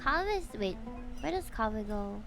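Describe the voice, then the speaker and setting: A woman's voice: a little high, very animated, moderate pace, vocal fry, a bit bright, soft background music. A young woman from the Philippines streaming a game, muttering to herself and swearing as she works through a puzzle. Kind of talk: casual gaming stream commentary.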